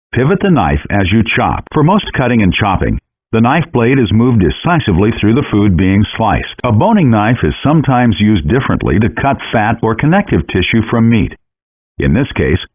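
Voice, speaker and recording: A man reading scripted cooking-game instructions in a measured, clearly enunciated, explanatory way, in dull compressed audio. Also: fairly low pitch, no room sound.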